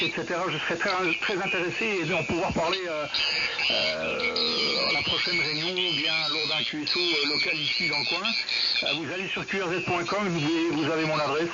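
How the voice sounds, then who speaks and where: Through heavy static. He is an amateur radio operator chatting off the cuff, often stalling and repeating himself as he searches for his words. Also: high voice. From Belgium. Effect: two-way radio transmission. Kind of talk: casual radio contact, unscripted chat. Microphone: radio transceiver microphone, received over the air. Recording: dull lo-fi radio link, loud static noise.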